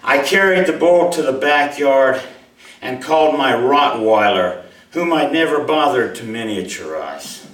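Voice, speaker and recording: A man's voice: medium pitch, lively intonation, unhurried, fairly bright tone. Echoey man with swooping delivery. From Mobile, Alabama, USA. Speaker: writer reading his own short story. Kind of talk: live story reading to an audience, expressive. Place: indoor room at a live reading event. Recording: roomy, echoey hall, distant microphone.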